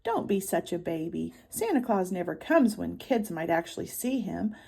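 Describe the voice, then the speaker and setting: A woman's voice: on the low side, sing-song, normal speed, vocal fry, a little mellow, slightly rough, exaggerated voice. A woman reading the part of a bossy, scornful eleven-year-old sister in a snappy, know-it-all way for a children's storybook.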